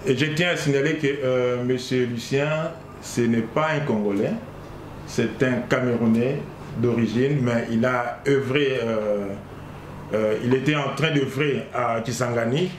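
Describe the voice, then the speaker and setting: A man's voice: mid-range voice, fairly even intonation, measured pace. Guy hosting an interview, chatty, asks lots of follow-ups, echoey room, faint hiss. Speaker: interviewer, host.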